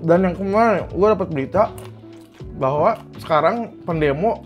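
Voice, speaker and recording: A man's voice: sits high, lively intonation, normal speed, muffled recording, faint music behind. A young man from Indonesia, ranting angrily to camera as a vlogger, blunt and swearing often. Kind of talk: heated commentary to camera.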